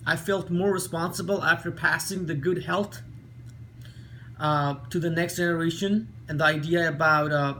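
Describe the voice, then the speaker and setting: A man from Nepal with a Nepali accent, reading an earnest talk with careful pauses and small slips. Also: fairly high pitch, steady tone, sharp tone, a little hiss or hum. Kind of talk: read-aloud video talk.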